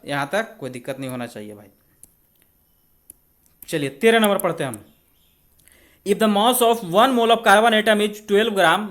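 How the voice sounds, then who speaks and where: A man with an Indian accent and a notably high voice teaches like an exam coach. He talks through each step conversationally. He keeps checking in with short rhetorical questions. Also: a bit bright. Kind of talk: spoken maths and chemistry tutorial.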